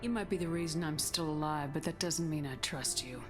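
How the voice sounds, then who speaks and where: Casting: actress as blunt, guarded game commander, low female voice, firm delivery, music underneath. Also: a little fast, close to an everyday voice. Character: tough, guarded female military commander, video game hero. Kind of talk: video game cutscene acting. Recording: music behind the voice.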